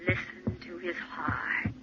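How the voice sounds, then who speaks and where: High, insidious voice in the head. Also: male voice, little pitch movement, slow pace. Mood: urging, sinister. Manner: insistent, echoing others' words, drawn out. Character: insidious inner voice goading a man to murder. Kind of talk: old-time radio horror play acting. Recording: muffled, hissy vintage radio recording.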